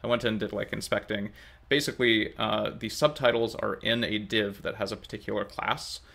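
A man's voice: on the low side, steady tone, normal speed, vocal fry, a bit bright. A man in his thirties giving a casual, unscripted update to camera at home, rambling a little and hesitant as he thinks aloud. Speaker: language-learning vlogger. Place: open kitchen and dining room at home, indoors.

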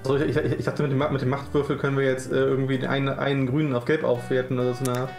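A man's voice: medium pitch, little pitch movement, very fast, creaky, darkish tone, echoey room, soft background music. A man chatting casually as a player in a tabletop role-playing game.

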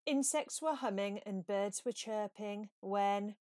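Unhurried woman reading a children's story. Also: medium pitch, dry recording, studio quality. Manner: measured, expressive read-aloud. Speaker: storybook reader. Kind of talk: scripted children's story narration.

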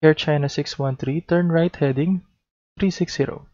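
Mellow Filipino man, instructional tone. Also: a little high, fairly even intonation, moderate pace, dry recording. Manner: instructional, mixes Tagalog into English. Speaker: air traffic control instructor. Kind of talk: demonstration lesson with radio instructions. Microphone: headset. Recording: dull lo-fi close recording.

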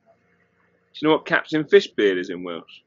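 A man's voice: fairly low pitch, very animated, normal speed, lo-fi sound. A man hosting a casual chat over a video call, curious and jokey, asking lots of questions. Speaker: host.

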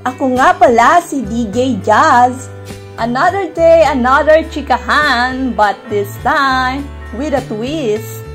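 A woman's voice: sits high, takes it slowly, a bit bright, faint music behind. A woman with a Filipino accent hosting as a radio DJ, reading a scripted show intro. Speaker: radio DJ host.

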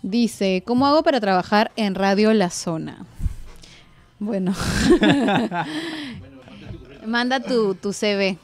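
A woman's voice: mid-range voice, slow pace, long pauses. A woman in her twenties with a Peruvian accent, hosting a casual live radio chat in a playful, informal manner. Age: 20s. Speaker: radio host. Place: small radio studio, indoors. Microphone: studio microphone on boom arm.

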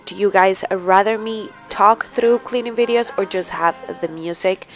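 A young woman and mother chatting casually to the camera in her home kitchen, relaxed and a little rambling. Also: medium pitch, normal speed, faint music behind. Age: young adult. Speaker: vlogger, mom.

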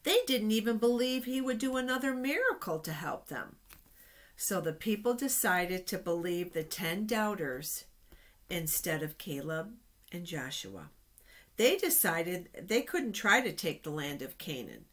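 A middle-aged woman reads to children in a small office. Her pace is unhurried and her pitch moves in lively swings as she explains each part. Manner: expressive, explanatory. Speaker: children's storytime reader. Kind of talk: reading Bible stories aloud to children. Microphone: webcam at desk distance.

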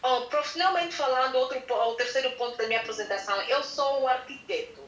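The woman interpreter at a church address, high-voiced and halting, relaying each phrase into an echoey room. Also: fairly bright tone, muffled recording. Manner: halting, searching for words. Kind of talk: consecutive interpreting.

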